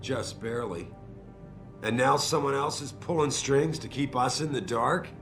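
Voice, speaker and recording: An actor playing a commanding middle-aged corporate boss who gives blunt, clipped orders in a game cutscene. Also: male voice, medium pitch, unhurried, over music, natural voice. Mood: stern. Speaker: video game cutscene character. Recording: game cutscene with loud score.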